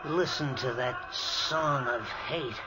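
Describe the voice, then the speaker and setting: An actor playing a bold, sly lab assistant in an old-time radio mystery, speaking with insistent intensity. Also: male voice, medium pitch, slow, loud music behind the voice, natural voice. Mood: ominous. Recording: muffled, noisy vintage radio recording.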